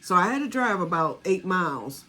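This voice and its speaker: A woman's voice: on the low side, sing-song, normal speed, pushed and bright. Chatty woman in her sixties. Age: 60s. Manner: chatty, rambling, talks straight to viewers. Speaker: home vanilla maker. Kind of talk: casual chat to camera.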